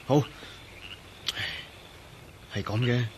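An actor in a radio drama playing a troubled, excitable man who tells of his ordeal in Hong Kong Cantonese, with sighs and hesitation. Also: mid-range voice, very slow, slight background noise. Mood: troubled recounting. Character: troubled man named Ah-Yin, turned invisible, recounting his ordeal.

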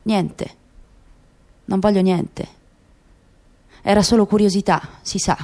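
A woman voicing a calm, quietly bitter father in a radio drama, in a controlled, questioning manner. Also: medium pitch, slow, close to an everyday voice. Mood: subdued. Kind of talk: literary radio drama acting.

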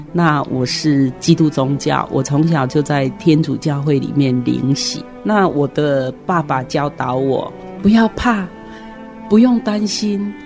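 A woman's voice: deep voice, dark tone. An older woman with a Taiwanese Mandarin accent, sharing personal thoughts and good wishes as a guest in an earnest, encouraging way. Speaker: interview guest, retired kindergarten principal and teacher. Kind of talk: personal sharing and well-wishing. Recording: music under the voice. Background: background music.

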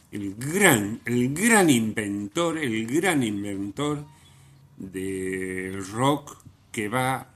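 A man with an Argentine accent hosting a radio show, giving unscripted music commentary in halting, emphatic, repeated phrases. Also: lowish voice, a bit bright. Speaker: radio host.

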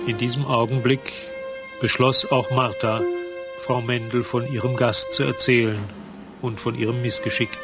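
A man's voice: mid-range voice, flat delivery, normal speed. Male narrator over music. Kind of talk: scripted radio drama narration.

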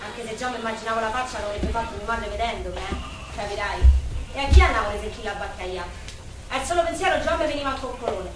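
An actress as a childlike, unhinged young woman from the Roman streets, in a high voice and a theatrical dialect. She sounds like a stage drama heard from far off in a very echoey space. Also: fast talker, lightly acted. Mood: nostalgic. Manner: theatrical, childlike, breaking into song. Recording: distant, echoey stage recording with music.

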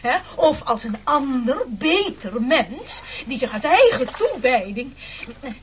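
A woman playing an anxious, pompous castaway gentleman in a radio play, stammering and declaiming in a theatrical, halting manner. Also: high-pitched, sing-song, a little hiss or hum, exaggerated voice. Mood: flustered, earnest.

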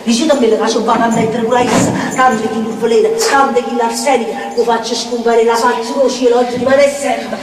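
An actress playing a volatile, bitter Neapolitan slum dweller in a theatrical dialect monologue, chanting repeated phrases and swinging between mutters and shouts. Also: female voice, on the high side, steady tone, a little fast, few pauses, background noise, natural voice. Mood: mocking, agitated. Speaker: stage actress. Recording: roomy, distant stage sound with music.

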